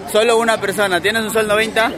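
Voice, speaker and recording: A man's voice: high-pitched, moderate pace. A young man filming a street challenge vlog at night on a busy city street, coaxing strangers with an upbeat manner and laughing often. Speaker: street vlogger. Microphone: handheld camera following him. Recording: crowded street noise. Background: crowd chatter and passing traffic.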